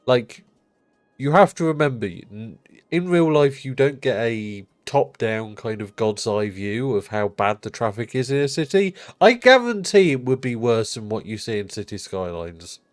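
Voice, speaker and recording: A man's voice: mid-range voice, very animated, normal speed, no echo, studio quality. A man streaming a city-building game, chatting casually and rambling, his nose blocked up because he just rolled out of bed.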